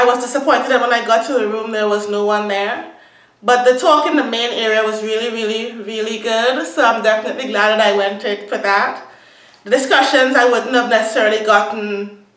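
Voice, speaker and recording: A woman's voice: a little high, fairly bright tone, reverberant, far from the mic, low-quality recording. A young woman giving a casual, upbeat review to camera, thinking aloud as she goes. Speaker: vlogger. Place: small room indoors. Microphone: camera in front of her.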